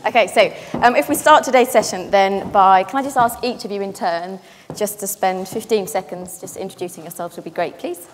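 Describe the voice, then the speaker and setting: A woman moderating a panel, brisk and polite, saying please often. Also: medium pitch. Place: conference room. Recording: hall reverb with faint noise, from far off.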